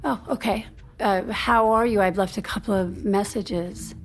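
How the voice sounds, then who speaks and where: A woman's voice: medium pitch, fairly even intonation, unhurried, slight background noise, close to an everyday voice. An actress playing a guarded, strained mother in a naturalistic audio drama. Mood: hurt, hesitant. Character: guarded, strained middle-class mother, secretly selling fantasies. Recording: background music under dialogue.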